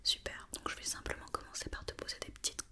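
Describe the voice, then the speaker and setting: Female ASMR performer, fully whispered, gentle and reassuring clinician giving patient instructions. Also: fast. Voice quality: soft unvoiced whisper. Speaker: ASMR roleplayer playing a company doctor examining a robot. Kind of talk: whispered ASMR roleplay in French.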